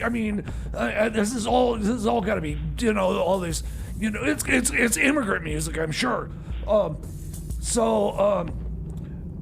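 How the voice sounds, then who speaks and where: Man playing a high-pitched, stammering, flustered radio DJ. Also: vocal fry, background noise. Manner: halting, stammering, rambling. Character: flustered, grumpy, bumbling man hosting a radio music show. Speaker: radio show host and DJ. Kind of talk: comedic community radio DJ chatter.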